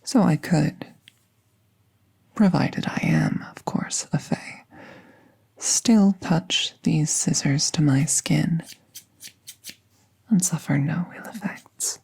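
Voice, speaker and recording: A woman playing a gentle, playful fae veterinarian in a soft, soothing ASMR roleplay, with breathy, whispery speech and formal wording. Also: low-pitched, slow pace, pauses often, exaggerated voice. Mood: gentle, wry. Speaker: audio roleplay performer.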